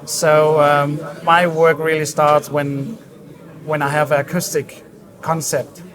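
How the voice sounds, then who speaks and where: A man explaining his work as a design director in an interview, in a halting, thoughtful way. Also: fairly high pitch, flat delivery. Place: busy hi-fi trade show hall. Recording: crowd chatter around the voice. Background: crowd chatter.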